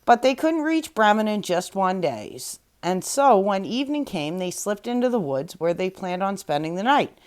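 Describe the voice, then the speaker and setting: A woman storyteller narrating a fairy tale aloud in an expressive read-aloud style. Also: medium pitch, normal speed, hardly any pauses.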